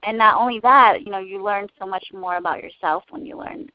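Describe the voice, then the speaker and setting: A young woman who grew up speaking Cantonese, talking in a casual interview in an earnest, thoughtful way with frequent pauses to find her words. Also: medium pitch, dry recording. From United States. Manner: earnest, thoughtful, many hesitations. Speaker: interview guest. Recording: dull lo-fi dry recording.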